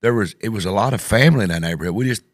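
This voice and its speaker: West tennessee guy hosting a chat show, kinda low voice, folksy and rambly, dry sound. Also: lively intonation, very fast, no room sound. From Tennessee, USA. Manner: folksy, rambling, frequent "yeah" agreements. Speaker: talk show host. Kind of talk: casual chat.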